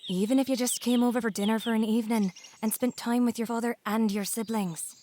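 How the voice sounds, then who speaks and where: A woman playing an earnest young new wife in an audio drama, gentle and polite but persistent. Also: a little high, fairly even intonation, brisk, lightly acted. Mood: concerned, pleading. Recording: faint noise, birdsong behind the voice.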